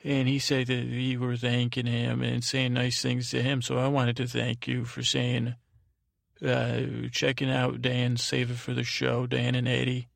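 A man with a very creaky, gravelly voice hosts a podcast. He talks casually and loosely, often restarting phrases and drifting between asides. The sound is dry, with no room around him. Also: mid-range voice, completely flat delivery, dry recording. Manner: halting, meandering, repeats and restarts phrases. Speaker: podcast host. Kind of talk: rambling casual monologue with thank-yous.

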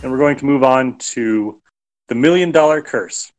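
A man's voice: medium pitch, moderate pace, poor audio. A man giving a casual, informal introduction as a podcast host. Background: music.

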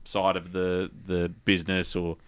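An Australian man with a fairly low, clean and resonant voice hosts a casual gaming podcast. He talks colloquially, repeating himself as he finds his words, and swears freely. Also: completely flat delivery, normal speed, fairly bright tone, smooth. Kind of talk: casual chat.

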